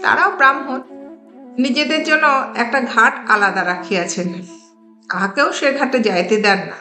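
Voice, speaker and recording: High, bright-voiced woman narrating a story. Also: lively intonation, moderate pace. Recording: roomy echo, music underneath.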